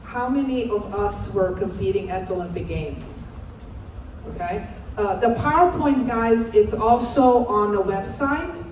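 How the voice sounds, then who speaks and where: Woman, 50s, mellow voice, encouraging conference speaker, echoey hall, distant mic. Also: a little high, a little hiss or hum. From Romania. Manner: encouraging, asks audience to call out answers. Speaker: assistant professor and presenter. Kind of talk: interactive conference talk.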